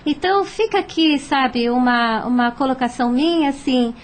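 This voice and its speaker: A Brazilian woman with a high voice joins a radio panel conversation. She speaks in a casual, reflective way, often hesitating as she searches for the next word. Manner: hesitant, conversational, pauses to find words. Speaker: panel participant on a radio programme.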